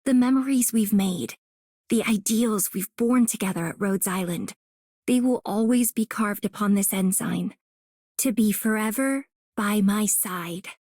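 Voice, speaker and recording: A woman's voice: medium pitch, unhurried, dark tone, no room sound, clean studio sound, natural voice. A woman voicing a youthful, proud and earnest soldierly operator in scripted game lines. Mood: solemn, resolute.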